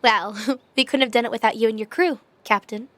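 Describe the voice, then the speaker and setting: A woman playing a composed, gracious young leader in an audio drama, measured and gently formal. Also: on the high side, lightly acted. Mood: reflective.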